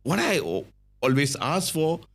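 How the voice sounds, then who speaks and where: A middle-aged man from Malaysia with a Malaysian English accent, a podcast guest telling his story in a halting way, full of fillers and restarts. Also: a little high, big pitch swings. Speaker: guest, company founder.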